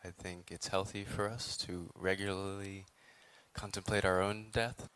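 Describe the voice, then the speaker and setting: A man leading a guided meditation as a Buddhist teacher, speaking in measured phrases with long pauses between them. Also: deep voice, flat delivery, a bit bright, smooth.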